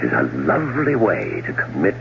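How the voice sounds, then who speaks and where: Scheming middle-aged brother, low voice, sardonic, deliberate, 1970s radio play, muffled noisy broadcast. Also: male voice, wildly swooping pitch, vocal fry, exaggerated voice. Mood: coolly menacing. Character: scheming, cold-blooded older brother plotting his wife's murder. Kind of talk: 1970s radio drama acting and first-person narration. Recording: dull, noisy old radio broadcast recording.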